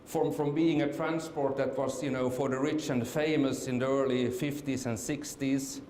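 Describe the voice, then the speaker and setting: A middle-aged man from Finland gives a conference talk, stopping often with ums and repeated words. His mid-pitched voice rings around a large echoey hall. A faint hiss sits under the speech. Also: fairly even intonation, moderate pace, a little mellow. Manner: hesitant, many fillers and restarts. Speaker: conference speaker. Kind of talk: conference presentation.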